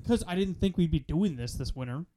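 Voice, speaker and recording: A man's voice: a little high, animated, brisk, warm tone. A man from Wisconsin with a local accent, chatting casually with friends on a podcast in his home and acting out the dialogue in his stories. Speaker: podcast co-host. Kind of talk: casual chat among friends. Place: home living room by a fireplace.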